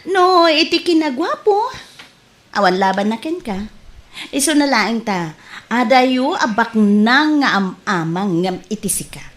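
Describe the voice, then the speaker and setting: Young sweetheart, high-pitched, very expressive melody, coy and blunt, Ilocano accent, radio comedy drama. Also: female voice, unhurried. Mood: blunt, dismissive. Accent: Ilocano Filipino.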